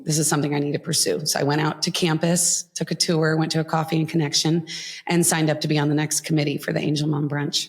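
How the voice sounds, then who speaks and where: A woman in her thirties, a podcast guest, talking earnestly and warmly about her volunteer work, with frequent ums and pauses. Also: low-pitched. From Florida. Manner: earnest, heartfelt, with frequent fillers. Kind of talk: casual interview talk.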